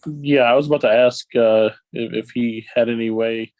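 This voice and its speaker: A man's voice: mid-range voice, fairly even intonation, moderate pace, darkish tone. A man playing a tabletop roleplaying game, asking things in a tentative, casual way.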